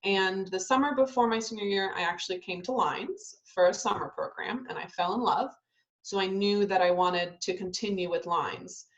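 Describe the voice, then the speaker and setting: A woman's voice: medium pitch, normal speed. Chatty young woman on a lo-fi call. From Italy, raised in Southern California. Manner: chatty, relaxed, with frequent ums and restarts. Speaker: ballet company dancer. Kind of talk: casual interview over video call.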